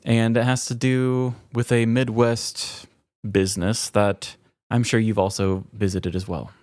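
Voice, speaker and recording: A man's voice: fairly low pitch, level tone, a little slow, darkish tone, no echo, clean studio sound. A man from Missouri with an American accent, chatting and telling stories casually as a comedic podcast co-host. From Missouri, United States. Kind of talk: casual comedic chat and storytelling.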